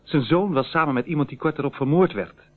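An actor playing a worried, fretful lawyer in a Dutch radio drama, with an anxious, uneasy way of talking. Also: male voice, fairly high pitch, expressive, a little fast. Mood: troubled, fretful. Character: worried, fretful male lawyer, anxious about his reputation. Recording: narrow, tinny phone-like old radio recording.

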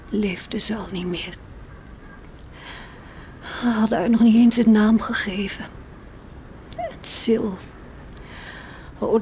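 An actress playing an anxious, tender and pious farmer's wife and mother in a radio play, musing in hushed, emotive tones. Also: mid-range voice, close to an everyday voice. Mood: wistful. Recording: old radio play with faint noise.

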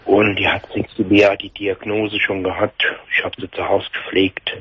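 Shaken Palatinate man, husky and low. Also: flat delivery, no echo. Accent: Palatinate German. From Palatinate, Germany. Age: 40s. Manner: halting, dazed, hesitant with many restarts. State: shaken, in shock. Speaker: caller. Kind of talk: late-night radio call-in. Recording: dull lo-fi phone-in audio.

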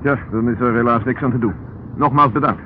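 An actor playing a composed, shrewd police inspector in a Dutch radio play, brisk and authoritative with dry irony. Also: male voice, medium pitch, fairly even intonation, close to an everyday voice. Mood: polite, businesslike. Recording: muffled, noisy old radio play recording.

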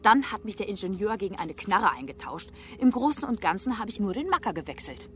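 An actress playing a tough street prostitute, mocking and hard-edged toward a former lover, in a German radio drama. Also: female voice, medium pitch, animated, a little fast, a bit bright, lightly acted. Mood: bitter, teasing. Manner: sardonic, teasing, hard-edged.

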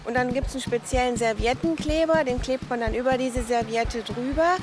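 Woman with a fairly high voice. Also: fairly quick, noisy background. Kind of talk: informal explanation.

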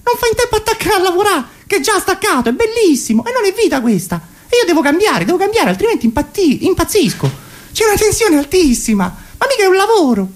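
Exasperated lady power plug, played by a man: high voice, animated swoops, Roman accent, whiny comic griping. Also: fast talker. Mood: desperate, fed up. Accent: Roman Italian. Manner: whining, indignant, rambling complaint. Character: exasperated, overworked female electrical plug, complaining about her job. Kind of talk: comic radio sketch monologue.

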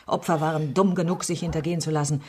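A woman's voice: sits low, fairly even intonation, quick pace, mellow, lightly acted. An actress playing a bitter, betrayed middle-aged woman politician in a naturalistic radio drama, brooding with wounded irony.

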